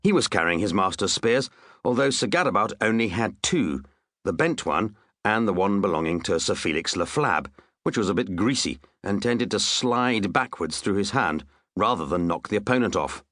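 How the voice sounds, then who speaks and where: A man's voice: lowish voice, expressive, moderate pace, fairly bright tone, no echo. A man narrating a comic children's story with dry, wry asides. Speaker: audiobook narrator.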